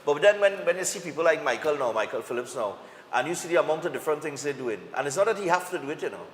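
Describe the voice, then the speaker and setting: A man with a Trinidadian accent hosting a morning TV chat, lively and quick to laugh, repeating his words as he talks. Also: a little high, a little hiss or hum. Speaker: morning show host. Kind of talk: casual chat interview. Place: TV studio. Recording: echoey studio with long reverb.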